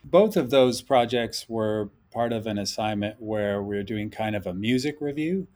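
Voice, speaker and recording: A man speaks as a podcast guest in a thoughtful, measured way, often hedging as he reasons aloud. His voice sits a little low. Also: darkish tone, faint music behind. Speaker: guest, instructor. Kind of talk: podcast interview talk.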